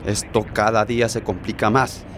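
A man's voice: fairly low pitch, level tone, normal speed, background noise, lightly acted. A man playing an uneasy, hesitant detention guard in radio drama dialogue.

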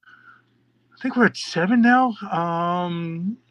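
A man's voice: sits high, drawn-out words, fairly bright tone, dry recording, dull audio. A middle-aged man from New York, a podcast host and children's author, answering interview questions in a rambling, chatty way with many fillers and restarts.

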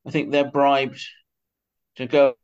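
Muffled older man, halting podcast guest. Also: medium pitch, monotone, clean tone. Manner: tentative, self-correcting, halting. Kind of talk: conversational book interview. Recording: dull, band-limited audio.

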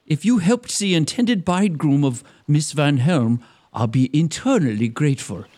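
A man's voice: on the high side, animated, moderate pace, mellow, lightly acted. Man playing a pompous, gullible suitor, swooping voice. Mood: eager, pleased. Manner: pompous, self-satisfied. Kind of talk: gothic comedy audio drama.

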